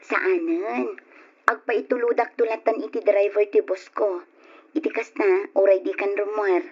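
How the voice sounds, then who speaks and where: Nagging working wife, played by a woman, husky, high-pitched, chiding, radio drama, muffled recording. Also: steady tone, normal speed, natural voice. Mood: casual. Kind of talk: old Ilocano radio drama acting.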